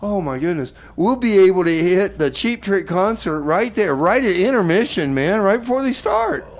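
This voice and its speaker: A man playing an excitable, stubbornly insistent crackpot inventor in a comedy radio sketch, repeating himself. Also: high voice, normal speed. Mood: eager, insistent. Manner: repetitive, insistent. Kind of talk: improvised comedy radio theater.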